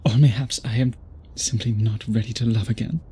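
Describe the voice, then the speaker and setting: A man playing an obsessed, tormented vampire suitor in an intimate ASMR roleplay, speaking formally and haltingly in a breathy, husky voice. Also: medium pitch, fairly even intonation, mellow. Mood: remorseful, worried. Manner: formal, theatrical, halting speech with dramatic pauses.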